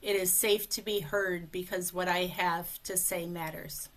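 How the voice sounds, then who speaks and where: A woman speech coach leading a guided tapping session, speaking slowly and calmly in short phrases for a client to repeat, over a video call. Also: lowish voice, crowd noise. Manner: slow, calm, leads phrases for repetition.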